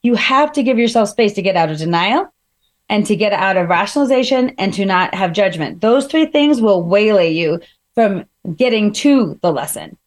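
A woman with a slightly harsh voice, talking through feelings and examples in a relaxed, reflective conversational way, with frequent fillers. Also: mid-range voice, normal speed. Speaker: guest speaker. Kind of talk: casual conversational advice.

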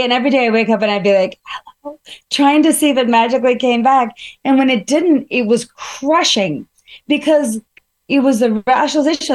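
A woman in casual conversation, her somewhat high voice carrying a slight harshness. She thinks aloud, building points through everyday examples. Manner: reflective, gives examples, many fillers. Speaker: guest speaker.

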